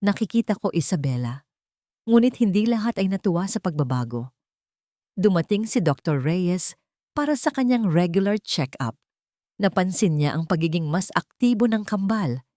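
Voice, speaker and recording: A woman's voice: on the low side, animated, moderate pace, warm tone, no room sound, clean studio sound. A woman narrating a scripted dramatic story as an audiobook reader.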